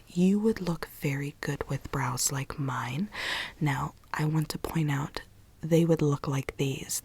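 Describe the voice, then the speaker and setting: A woman whispering in a breathy, unvoiced voice, gently role-playing a consultant who explains things to a client in ASMR style. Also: deep voice.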